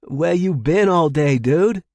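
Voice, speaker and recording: A man puts on a boisterous young bar pal for an audio story. He is loud and hearty, raised in pitch, nosy and full of slang. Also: expressive, slow pace, dry recording. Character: boisterous young male drinking pals, nosy, backslapping. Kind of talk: audio fiction character acting.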